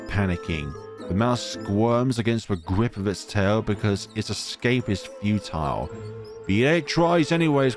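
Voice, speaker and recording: Low male voice, expressive game read-aloud, dramatic narration, clear music behind. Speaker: let's player reading a game aloud.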